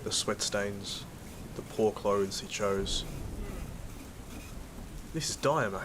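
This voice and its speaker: Man playing low-voiced, world-weary Australian toad hunter. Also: takes it slowly, background noise. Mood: blunt, angry. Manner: blunt, sweary, jaded. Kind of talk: first-person narration and dialogue in an audio drama.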